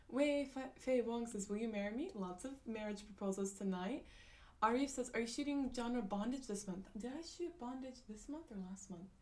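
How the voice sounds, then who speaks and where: A woman's voice: on the high side, a little mellow. Chatty young woman live-streaming. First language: not Japanese. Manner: chatty, many fillers and restarts, frequent laughs. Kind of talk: casual live-stream chat reading comments.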